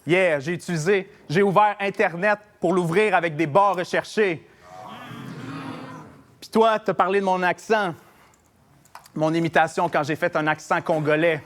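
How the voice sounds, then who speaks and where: A man's voice: high-pitched, fairly even intonation, normal speed, pauses often, fairly bright tone. A man with a Québécois French accent, a battle rapper delivering mocking a cappella punchlines. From Quebec. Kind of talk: a cappella rap battle verses. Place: live battle venue with audience.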